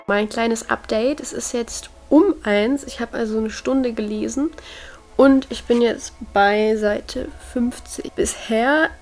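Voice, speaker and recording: A woman's voice: on the high side, slow pace. A woman in her twenties talking casually and directly to her viewers, upbeat and chatty, filmed at home. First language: German. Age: 20s. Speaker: vlogger. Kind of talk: casual vlog to camera. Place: at home indoors.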